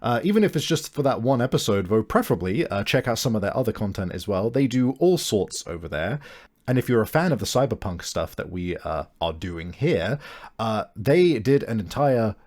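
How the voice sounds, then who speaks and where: A male podcast host gives a casual break announcement. His delivery is animated and upbeat, with an appreciative manner and plenty of movement in pitch. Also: normal speed.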